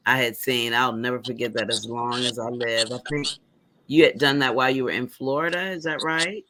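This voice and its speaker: A man's voice: mid-range voice, fairly even intonation, fairly quick, crowd noise. A man hosting an interview in a chatty, affirming way, often backing up the guest. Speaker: interview host. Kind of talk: casual interview chat.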